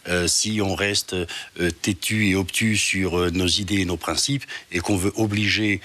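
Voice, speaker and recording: A man from Portugal, a studio guest, giving slow testimony full of hesitations and restarts. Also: low-pitched, fairly even intonation, bright, forceful tone. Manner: halting, many "euh" pauses and restarts. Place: television studio.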